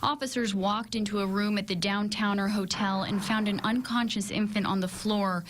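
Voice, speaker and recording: A woman's voice: medium pitch, steady tone, moderate pace, a little hiss or hum. A woman with an American accent delivering scripted broadcast news narration as a television reporter.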